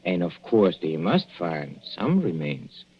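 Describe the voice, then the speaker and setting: An actor playing a gloating, murderous older professor in 1940s radio suspense style, mock-courteous and savoring every hesitation. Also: male voice, low-pitched, very animated, slow, a little hiss or hum, heavily acted. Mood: gloating, vengeful. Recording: muffled, dull old radio recording.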